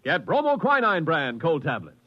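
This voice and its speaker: A man's voice: a little high, huge pitch swings, moderate pace, faint music behind. A man with an American accent reading a scripted 1950s radio advertisement as a commercial announcer. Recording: dry, dull vintage radio recording.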